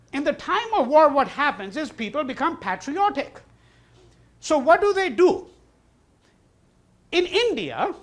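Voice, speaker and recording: A man giving a university lecture in a hall, his voice high and animated with wide swings in pitch. His first language is Hindi. He repeats key words for emphasis and answers his own questions. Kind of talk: classroom lecture.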